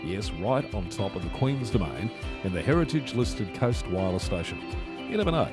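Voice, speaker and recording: A man's voice: lowish voice, big pitch swings, a little fast. A man reading scripted promotional narration as the announcer for a hobby club. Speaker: announcer for an amateur radio club. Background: clear music bed.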